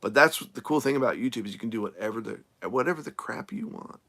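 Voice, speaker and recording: A man who is a nomad RV creator, chatting casually on a live stream as he answers viewer comments, rambling with lots of fillers and restarts, his voice going a little hoarse. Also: mid-range voice, animated, fast talker, bright, forceful tone. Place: RV camp in the desert, outdoors.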